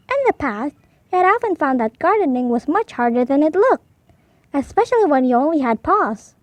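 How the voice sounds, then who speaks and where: A woman reads a picture book aloud for young children in a high voice. Her narration is expressive and highly animated, and the recording is close and dry. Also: no echo. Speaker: storybook reader.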